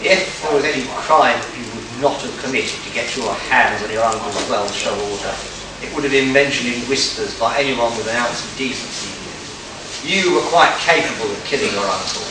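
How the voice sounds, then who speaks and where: Stern, deliberate detective for a radio play, male actor, distant from the mic, clear background noise. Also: medium pitch, lightly acted. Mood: accusing. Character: stern, shrewd male detective accusing murder suspects. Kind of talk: amateur radio play acting. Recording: echoey, distant live recording with noise.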